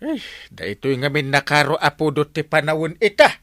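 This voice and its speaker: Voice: medium pitch, lively intonation, moderate pace, a bit bright, no echo, clean studio sound, natural voice. An actor playing a sleepy, lazy village captain in a comic radio drama, stammering and whining through excuses. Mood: lazy, complaining. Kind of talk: comedic radio drama acting.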